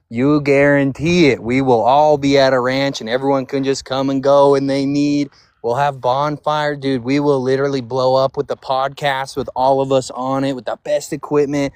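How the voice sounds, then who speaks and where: A man with a mid-pitched voice talks casually on a live-stream panel. His speech is slangy and buddy-like, peppered with bro and dude. The sound is dry, with little room echo. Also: smooth.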